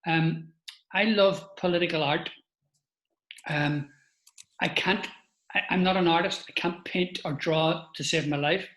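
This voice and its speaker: A man's voice: on the high side, fairly even intonation, measured pace, long pauses, a bit bright, echoey room, rough recording. An older man from Northern Ireland with a Northern Irish accent, giving an informal talk with a slideshow, chatty, with restarts and asides to his listeners.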